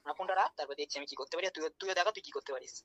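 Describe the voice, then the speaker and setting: A man's voice: a little high, very fast, very noisy. A young man talking bluntly and informally on a phone call. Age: young adult. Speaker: prank call target.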